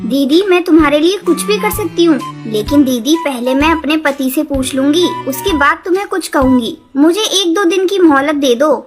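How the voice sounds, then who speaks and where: Woman as a cartoon pigeon sister, high voice, direct, emphatic, music underneath. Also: moderate pace, few pauses, lightly acted. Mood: caring, agreeable. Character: outspoken female pigeon, determined younger sister. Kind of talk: Hindi children's cartoon story acting.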